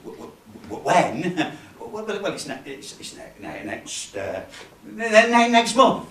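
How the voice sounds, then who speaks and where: A man's voice: fairly high pitch, expressive, moderate pace, fairly bright tone. An actor playing a nervous, lonely, unassuming man who stammers and halts through a self-deprecating comic stage monologue. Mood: flustered, panicky. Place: cafe bar performance space. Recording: echoey room, distant camera microphone.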